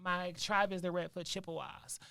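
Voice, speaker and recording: A woman's voice: fairly low pitch, level tone, moderate pace, creaky, bright, forceful tone. A woman from Detroit, a guest on a podcast, telling her story in a candid, emphatic way. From Detroit, Michigan. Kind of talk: casual podcast conversation.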